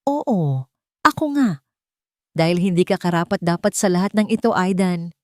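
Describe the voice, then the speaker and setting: A woman's voice: fairly low pitch, lively intonation, moderate pace, lightly acted. A woman voicing a smug, scheming wealthy young man in a dramatized audiobook, with a mocking, falsely caring manner.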